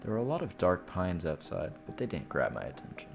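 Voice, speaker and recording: Low-voiced man reading a game story aloud. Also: very animated, a little fast, gravelly, over music. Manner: informal, swearing in asides. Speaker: streamer playing a visual novel.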